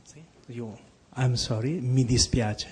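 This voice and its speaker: A man's voice: medium pitch, takes it slowly, warm tone, slight background noise. A man who is a native Italian speaker, with an Italian accent, speaking up as a seminar attendee. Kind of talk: asking a question from the audience. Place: seminar room.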